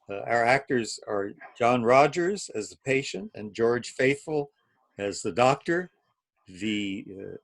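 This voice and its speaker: A man with an easygoing, plain-spoken manner, informally introducing an evening of play readings as its host over a video call. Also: medium pitch.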